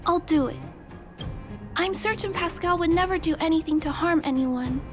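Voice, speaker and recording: Very high-pitched earnest young girl. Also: normal speed, music in the background. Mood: earnest, concerned. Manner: earnest, polite. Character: gentle, earnest young girl, devoted and resolute. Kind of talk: video game voice acting.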